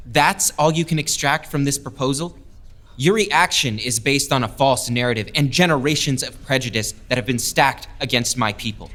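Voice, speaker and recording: A man's voice: mid-range voice, a bit bright. An actor playing a passionate young lieutenant who speaks up for his people with earnest conviction. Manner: earnest, persuasive speech-making. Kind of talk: audio drama acting.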